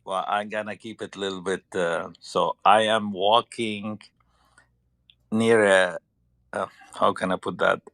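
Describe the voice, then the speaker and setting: A man with a Lebanese accent telling a story in casual talk, halting and searching for words. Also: fairly low pitch, steady tone, gravelly, a bit bright, no room sound. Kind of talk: casual anecdote on a panel.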